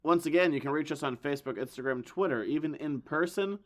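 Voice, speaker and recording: A man hosting a casual comics podcast, hoarse from losing his voice, with dry wisecracks. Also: fairly high pitch, fast talker, over music. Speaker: podcast host. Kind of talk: casual chat.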